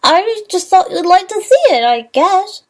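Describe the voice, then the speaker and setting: A woman's voice: very high-pitched, big pitch swings, a little slow, exaggerated voice. A woman playing a meek, sweet, childlike young female pegasus pony in an amateur audio drama. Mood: hesitant, meek.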